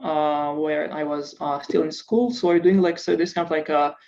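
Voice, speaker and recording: A man's voice: on the high side, fairly even intonation, normal speed, gravelly, a little mellow, lo-fi sound, a little hiss or hum. A startup founder from Israel, a man, answering interview questions hesitantly, with many filler sounds. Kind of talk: casual interview over video call.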